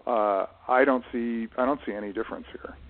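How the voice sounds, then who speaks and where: A man giving thoughtful, explanatory interview answers in a halting way, often restarting and correcting himself as he goes. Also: mid-range voice, phone-quality sound. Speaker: guest, writer managing his late father's literary estate. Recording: band-limited radio-style voice recording.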